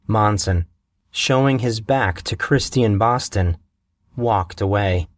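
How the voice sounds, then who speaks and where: A man narrating a fantasy novel in a measured, steady storytelling manner, recorded on a close mic. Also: fairly low pitch, dry recording.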